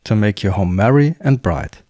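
Man narrating a woodworking build, lively pitch. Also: normal speed, no room sound, clean studio sound. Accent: German. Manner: calm, plain step-by-step explaining. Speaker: woodworking channel host. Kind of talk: scripted voice-over narration of a craft project.

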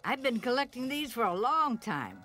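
A woman's voice: fairly high pitch, very animated, normal speed, bright, forceful tone, soft background music. A woman voicing a frail, eccentric elderly antique car in a cartoon game. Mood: nostalgic.

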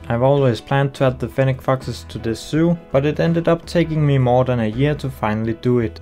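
Calm man with a Danish accent. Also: medium pitch. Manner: calm, explanatory, slightly non-native phrasing. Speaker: game video creator. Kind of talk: voice-over narration of a game speed build.

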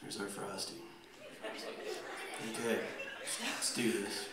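A man's voice: on the low side, flat delivery, slow, gravelly, over a noisy crowd. A young man giving a comedic dramatic reading to a live audience, drawing words out and repeating phrases with mock drama. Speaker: performer leading a comic reading on stage. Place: church stage, indoors. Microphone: handheld microphone through PA, filmed from the audience. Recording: roomy, distant audience camera recording. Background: loud live instrumental music.

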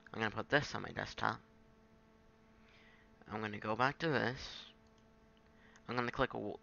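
A man giving an informal, halting software tutorial, thinking aloud as he goes. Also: medium pitch, vocal fry, lo-fi sound. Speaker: tutorial presenter. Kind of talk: casual screen-capture software tutorial.

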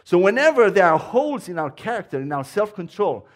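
A man with a Romanian accent preaches from a church pulpit, his voice sitting a little high for a man. He speaks with earnest fervor, often restarting his phrases as he builds his point. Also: very animated, fairly quick. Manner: earnest, fervent, with frequent restarts. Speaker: guest preacher. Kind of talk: sermon. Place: church sanctuary.

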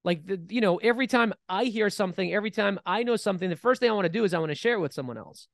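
A man in his thirties co-hosting a casual podcast, talking in an animated way with many restarts, recorded on a studio mic. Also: sits high, racing, creaky, no room sound. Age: 30s. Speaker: podcast co-host. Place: bright studio room, seated in armchair. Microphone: boom-mounted studio mic.

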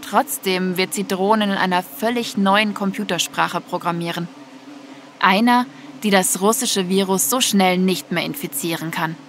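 A woman's voice: mid-range voice, normal speed, fairly bright tone, slight background noise. A woman narrating a scripted audio story.

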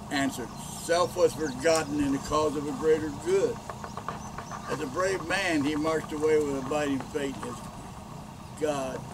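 A middle-aged man, a veterans' chaplain, giving a measured, solemn memorial address outdoors at a cemetery, recorded from a distance. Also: a little high. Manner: measured, solemn ceremonial delivery. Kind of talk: formal graveside memorial address. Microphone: handheld mic at a distance, later unamplified. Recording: outdoor ambience and noise, mic from far off.

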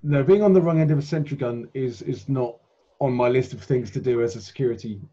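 A man with a mellow, soft-edged voice discusses the next move in a tabletop game. His pitch is average and his style casual. The call audio is a little dull. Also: a little fast. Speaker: tabletop role-playing game player. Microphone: group video call.

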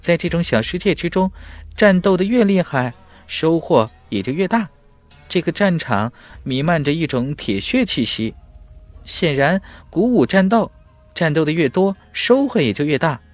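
A man putting on an eager high-pitched fire spirit servant. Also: very animated, moderate pace, no echo, soft background music. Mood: earnest, thoughtful. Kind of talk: audiobook character voice.

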